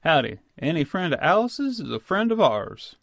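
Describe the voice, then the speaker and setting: Man acting a creaky old folksy grandfather. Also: fairly high pitch, sing-song, moderate pace, a bit bright, exaggerated voice. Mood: welcoming. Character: friendly, folksy elderly grandfather. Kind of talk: radio drama acting.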